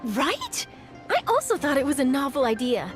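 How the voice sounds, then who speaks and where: An actress playing a breezy, confident young journalist in an anime-style game, chatty and lightly teasing. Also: female voice, high-pitched, wildly swooping pitch, moderate pace, soft background music.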